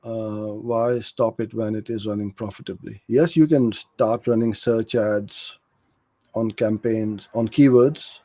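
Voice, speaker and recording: A middle-aged man from Karachi speaks in a low, soft-edged, relaxed voice. He works through questions like an informal coach, giving practical, frank explanations. The sound is dry and close, with very little room on it. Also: unhurried, no room sound. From Karachi, Pakistan. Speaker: digital marketing agency owner hosting a live Q&A. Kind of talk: informal live Q&A coaching, reading out questions and answering.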